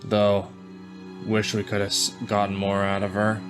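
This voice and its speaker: A man's voice: lowish voice, monotone, a little slow, over music. A man playing a tabletop roleplaying game, moving between describing his character and speaking as them.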